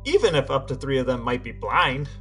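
Man, lively intonation, dramatic read-aloud style, highish voice, faint music. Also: fairly quick. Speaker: podcast co-host. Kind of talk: dramatic reading of text messages.

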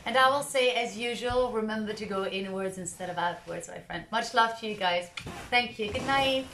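Bright, high woman co-host, echoey room, faint hiss. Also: quick pace, gravelly. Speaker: co-host of a live reading. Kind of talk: casual live chat.

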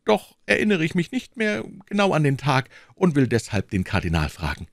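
A man's voice: on the high side, wildly swooping pitch, brisk, creaky, no room sound, studio quality, voice pushed up, heavily acted. A man voicing a cold, haughty king who speaks with stately command in an audiobook reading. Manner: stately, commanding, coldly taunting. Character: cold, cruel, haughty middle-aged king.

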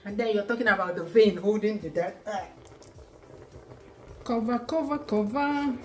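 A woman's voice: medium pitch, normal speed, a little mellow, soft background music. A Nigerian woman in her forties hosting a cooking video in her home kitchen, chatty and playful, thinking aloud as she cooks. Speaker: cooking channel host. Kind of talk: cook-along cooking video. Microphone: camera at a distance.

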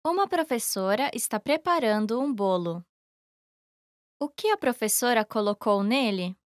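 A woman reading scripted lesson dialogue in a careful, even way. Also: a little high, a little slow, dry recording. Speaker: language lesson voice actor.

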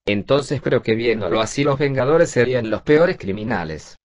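A man's voice: mid-range voice, lively intonation, moderate pace, dry recording, lo-fi sound, natural voice. A man playing an argumentative, earnest young son who works in a shop, in an amateur drama dub. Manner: questioning, earnest. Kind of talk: amateur Spanish dub acting.

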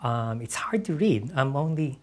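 A middle-aged man talks as a guest novelist on stage, his voice medium in pitch. His delivery is casual and halting, with opening words often repeated before he finishes a thought. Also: expressive. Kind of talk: casual onstage interview. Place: on stage in an auditorium before an audience.

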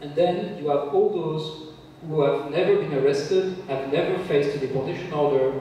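A man with a French accent speaks in English through a handheld mic, explaining things step by step with many small pauses. His voice is darkish and mellow. The sound comes from far back in a very echoey seminar room, with faint ambient hiss. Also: on the high side. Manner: measured, explanatory, frequent "uh" hesitations. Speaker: guest lecturer. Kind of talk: answering audience questions at a seminar. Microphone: handheld mic, filmed from far back.

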